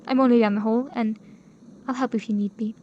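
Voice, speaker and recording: A woman plays a high-voiced young lady in a period audio drama. She is kind, proper and solicitous, speaking politely over faint background noise. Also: steady tone, a little fast, a little mellow, lo-fi sound, natural voice.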